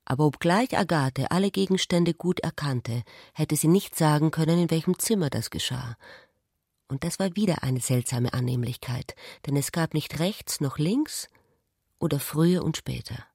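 A woman's voice: low-pitched, normal speed, darkish tone, husky, no room sound, clean studio sound. A woman reading literary prose as a narrator in a radio drama.

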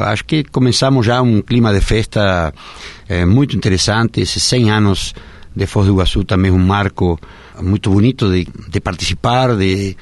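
Man, low and dry. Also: no room sound. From Argentina. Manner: earnest, speaks in long winding sentences. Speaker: radio interview guest, park director. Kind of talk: radio interview.

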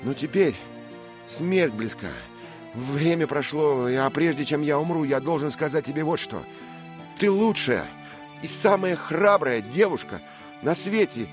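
A man's voice: fairly high pitch, animated, measured pace, music in the background, pitched above the performer's own voice. A man voicing an earnest, brave young squire who speaks with passion in an audiobook. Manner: impassioned, emphatic.

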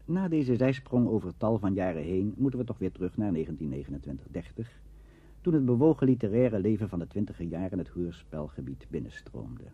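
A man's voice: low voice, very animated, brisk, warm tone, breathy edge. A man presenting a scripted documentary in a formal, literary manner. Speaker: presenter, narrator. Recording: dull vintage radio recording.

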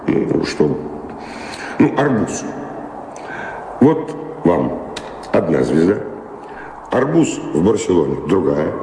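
An actor playing a weary, wistful wanderer and philosopher in a radio drama, musing deliberately with pauses. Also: male voice, low-pitched, creaky, noisy background, exaggerated voice. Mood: musing, storytelling. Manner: musing, deliberate, pausing storyteller. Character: weary wandering man revisiting his old love, wistful philosopher. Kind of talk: theatrical radio drama acting. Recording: echoey hall reverb, music underneath.

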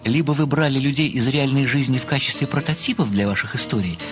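An actor playing an obsequious, methodical amateur sleuth who speaks formally and deliberately, with flowery deference, in an audio play. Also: male voice, mid-range voice, music in the background, close to an everyday voice. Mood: methodical, earnest. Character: obsequious, methodical amateur male sleuth, adoring a famous writer.

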